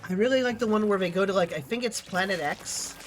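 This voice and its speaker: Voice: mid-range voice, quick pace, faint music behind. A streamer with a stuffy, sniffly nose, chatting casually and rambling while playing a game. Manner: chatty, rambling, trailing off mid-sentence. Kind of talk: casual gaming chat.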